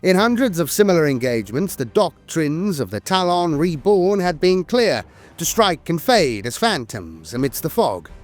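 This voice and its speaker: A man's voice: a little high, very animated, normal speed, faint music behind. A man reading scripted war fiction as a lore narrator, with a dramatic storytelling delivery.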